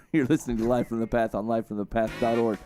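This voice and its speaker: A man co-hosting a radio talk show with a loud, joking manner, reading out listener comments. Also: mid-range voice, steady tone, fairly quick, soft background music. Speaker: radio co-host.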